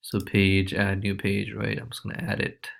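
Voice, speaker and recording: Casting: male tutorial presenter, lowish voice, informal and loose, walking viewers through on-screen steps. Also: monotone, normal speed, gravelly. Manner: informal, loose, repeats check-in tags like okay and right. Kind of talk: casual screencast walkthrough.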